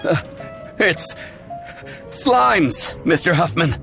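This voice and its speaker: An actor playing an alarmed junior knight in a video game, urgent, hesitant and deferential, with faint music behind him. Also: male voice, fairly high pitch, big pitch swings, drawn-out words, vocal fry, sharp tone, full character voice. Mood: panicked. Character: panicked male junior knight guard reporting danger. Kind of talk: video game character acting.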